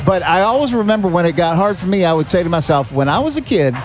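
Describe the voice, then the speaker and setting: Earnest, higher-voiced man in conversation. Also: lively intonation, fast talker, low-quality recording. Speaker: podcast guest, charity director. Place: live podcast at a restaurant.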